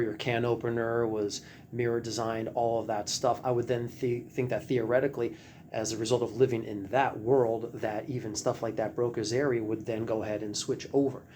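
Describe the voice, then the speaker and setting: A man's voice: mid-range voice, dark tone, slight background noise. A man presenting at a seminar, thinking aloud in discussion and answering others with listening murmurs. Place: lecture room.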